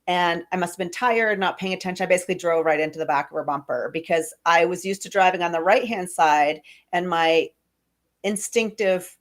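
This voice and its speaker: Chatty lower-voiced woman host with a cold. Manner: chatty, enthusiastic, laughs often. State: has a cold. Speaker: podcast host. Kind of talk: casual interview chat.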